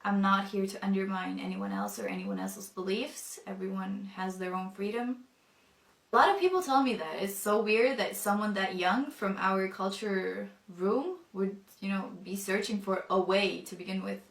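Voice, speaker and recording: A young woman, not a native English speaker, telling her personal testimony thoughtfully, with many pauses and fillers. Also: medium pitch, animated, roomy sound, distant mic. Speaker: convert telling her story.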